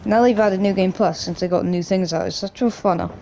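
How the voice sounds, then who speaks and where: A man with a hoarse, croaky voice from a cough, casually rambling through gameplay commentary as a gaming YouTuber. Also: high voice, fairly quick, a little mellow, slight background noise, rough recording. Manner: rambling, loose, with many restarts and "like".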